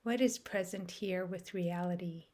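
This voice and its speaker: Slow-paced woman guiding a meditation. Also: on the low side. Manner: soft, soothing, measured phrasing with long pauses. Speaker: meditation guide and host. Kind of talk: guided meditation.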